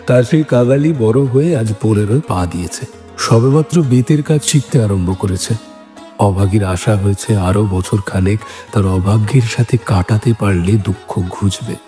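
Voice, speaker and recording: A man reading scripted literary narration as a storyteller, in a measured manner. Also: lowish voice, dark tone. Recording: music bed behind the voice.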